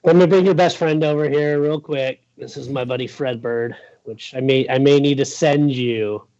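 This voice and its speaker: A man's voice: mid-range voice, steady tone, normal speed, a little mellow, muffled recording. A man chatting casually and informally as an interview guest, rambling a little as he goes. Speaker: guest, boating news journalist. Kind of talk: casual chat.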